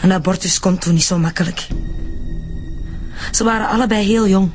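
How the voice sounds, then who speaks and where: An actress with a Flemish accent playing an earnest, frightened daughter explaining herself in a radio drama. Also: female voice, mid-range voice, moderate pace, faint music behind, natural voice. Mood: earnest, sorrowful. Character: frightened, earnest secret daughter confessing family history.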